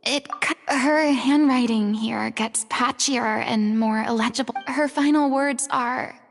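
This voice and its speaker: Dramatic young game heroine, high voice w some creak, proud but sensitive. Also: female voice, measured pace, long reverb tail, slight background noise. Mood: sombre. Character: theatrical young woman, expressive and sensitive, proud. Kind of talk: video game voice acting.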